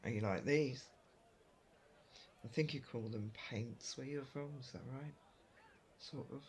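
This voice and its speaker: A man's voice: medium pitch, a little slow, close to an everyday voice. A man playing a curious, helpful storekeeper from another world, easygoing and explanatory in an audio roleplay. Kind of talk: roleplay audio drama addressed to the listener.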